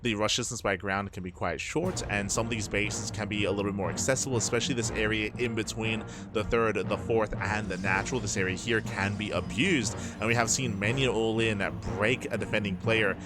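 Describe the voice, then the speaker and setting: Male esports caster, lower-pitched voice, analytical play-by-play delivery with repeated phrasing, clear music bed underneath. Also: steady tone, brisk, few pauses. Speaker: esports commentator. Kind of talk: live StarCraft match commentary. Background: background music.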